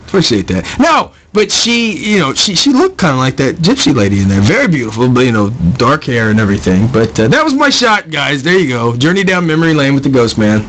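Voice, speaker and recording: A man's voice: medium pitch, huge pitch swings, a little fast, fairly bright tone, slight background noise. A man hosting a casual paranormal radio show, joking and laughing as he rambles through banter with his co-host. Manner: jokey, laughs often, rambling.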